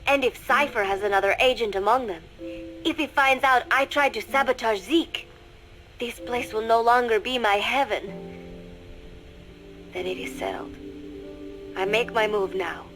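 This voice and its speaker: An actress playing a young female spy in a video game monologue, coldly resolved yet fragile, speaking in measured, deliberate phrases. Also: medium pitch, a bit bright, lightly acted. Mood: anxious, despairing. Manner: measured, deliberate, introspective confession.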